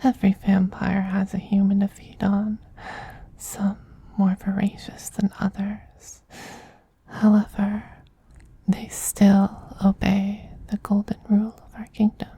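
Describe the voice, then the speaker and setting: A man voicing a tender, possessive male vampire queen in a soft-spoken ASMR roleplay, in a slow, breathy, soothing whisper with gentle dominance. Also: sits high, monotone, no echo, heavily acted, low-quality recording. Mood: tender, reassuring.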